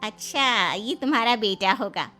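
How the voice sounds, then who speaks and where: A woman's voice: on the high side, animated, unhurried, bright, forceful tone, faint music behind. A woman voicing a nosy middle-aged female friend, questioning and gossipy, in a story. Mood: surprised. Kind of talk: animated story dialogue.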